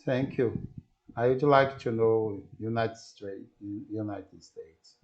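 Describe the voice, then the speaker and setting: Slow, halting Brazilian man on lo-fi audio. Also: on the low side. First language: Portuguese. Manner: halting, searching for words, repeating phrases. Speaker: English teacher practising conversation. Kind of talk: casual cross-cultural conversation. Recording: dull lo-fi online call audio.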